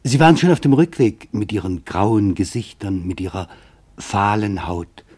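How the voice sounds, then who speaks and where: A man playing a sombre reporter in a scripted radio play, narrating in a grave, measured, reflective way with a husky voice. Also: fairly low pitch, darkish tone. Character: sombre male reporter recounting a camp survivor's testimony.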